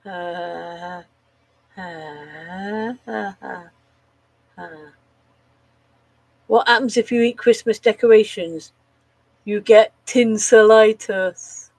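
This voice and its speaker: Slow-talking, nasal woman. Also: medium pitch, vocal fry, a little mellow, dry recording, low-quality recording. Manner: giggles at her own jokes, swears casually, groans at bad puns. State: slightly nasal from a cold. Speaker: live streamer. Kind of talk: casual live-stream chat telling corny jokes.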